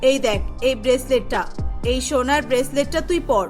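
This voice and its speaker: A woman's voice: sits high, normal speed, faint music behind, voice pushed down, natural voice. A woman voicing an overprotective, doting village mother in a cartoon, with an emotional, fussing manner. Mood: tender, proud. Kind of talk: Bengali cartoon voice acting.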